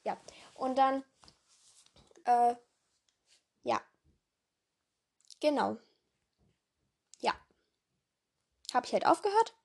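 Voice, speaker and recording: A young woman with a hoarse voice hosting a casual podcast in a rambling way, full of fillers and self-corrections, jumping between voices. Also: a little high, sing-song, drawn-out words, long pauses, a little mellow. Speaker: podcast host.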